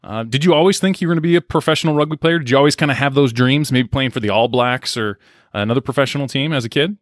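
A man's voice: medium pitch, quick pace, dry recording, studio quality. A young adult man hosting a podcast, speaking in an earnest, reflective, conversational way as he introduces and interviews a guest. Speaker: podcast host.

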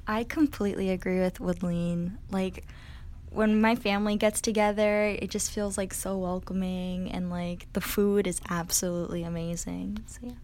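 A woman chatting easily on a casual group podcast, with a middle pitch and a relaxed way of talking. Faint background noise sits under her voice. She grew up in Connecticut. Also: normal speed. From Connecticut, USA. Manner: easygoing, relaxed, lots of "like". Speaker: podcast panelist.

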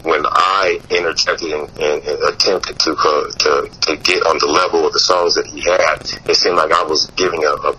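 A man's voice: a bass voice, level tone, moderate pace, few pauses, sharp tone, a little hiss or hum, rough recording. A young man from Mississippi, a musician guest in a casual interview, talking loosely with many fillers and restarts.

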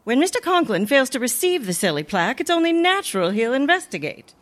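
A woman playing a wry, sensible but soft-hearted schoolteacher in an old-time radio comedy, gently admonishing. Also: high-pitched, very animated, fairly quick. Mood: wry, warning.

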